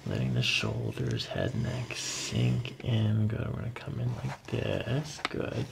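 A man in his thirties, a chiropractor, quietly guiding a patient in an ASMR style with soothing, repetitive short cues. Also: on the low side, sing-song, slow pace, gravelly. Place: small carpeted treatment office. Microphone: boom-arm microphone near the treatment table. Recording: noisy room recording with clicks.

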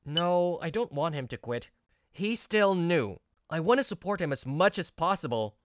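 A woman's voice: lowish voice, moderate pace, no room sound, studio quality, natural voice. A woman voicing an earnest, stressed young male office worker, narrating and acting in a manga video. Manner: earnest, expressive. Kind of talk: first-person narration and dialogue in manga video.

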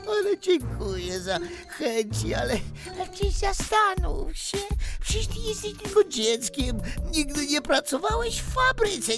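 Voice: high-pitched, exaggerated voice. A woman voicing a flustered, despairing chief elf in a children's story, stammering and whining dramatically. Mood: dismissive, worried.

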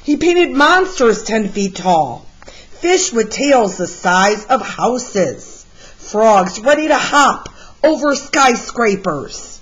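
A woman reads a children's picture book aloud at a slow pace. Her delivery is expressive and deliberate, like a storyteller guiding young listeners through the pages. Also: fairly high pitch, slightly rough, rough recording. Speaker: read-aloud storyteller, art teacher.

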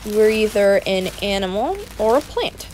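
A woman playing a playful, excitable young male adventurer in an audio roleplay, chatty and expressive, affectionate with a partner. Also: medium pitch, moderate pace, a little hiss or hum, close to an everyday voice, rough recording. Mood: curious, playful. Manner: breezy, giggly, expressive, chatty.

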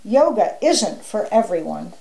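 A woman voicing a calm, patient male animal friend who teaches yoga in a children's story. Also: mid-range voice, unhurried, creaky, rough recording. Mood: soothing.

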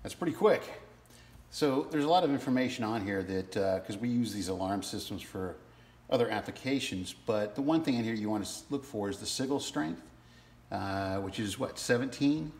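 A man demonstrates a product in a casual tutorial style. His voice sits fairly low with a clearly creaky edge. He goes step by step in an informal, halting way, recorded in a roomy-sounding space. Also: expressive, darkish tone. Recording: roomy sound with faint background noise.

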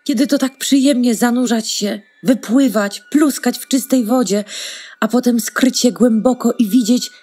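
A woman playing a timid, sad young duckling in a fairy-tale radio play, husky, soft and wistful. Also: a little high, normal speed, close to an everyday voice.

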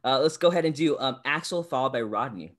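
Brisk man hosting a video call. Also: mid-range voice, animated, fairly bright tone. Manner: brisk, keeps things moving. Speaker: host.

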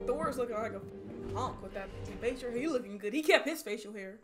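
High-pitched, expressive young woman reacting. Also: fast, loud music behind the voice. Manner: animated, slangy, emotional, interjecting. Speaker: movie reaction host. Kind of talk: casual, expressive film reaction commentary. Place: small room at home, indoors.

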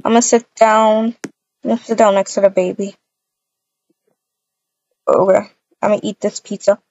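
A woman with a husky voice improvising a family roleplay in a halting, repetitive way, full of cooing endearments. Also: mid-range voice, flat delivery, a little mellow. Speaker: roleplay video creator voicing all characters. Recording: dull, lo-fi microphone sound.

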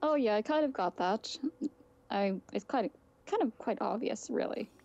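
A woman's voice: fairly high pitch, lively intonation, normal speed, creaky, darkish tone, lo-fi sound. A woman improvising roleplay dialogue in a casual, hedging manner.

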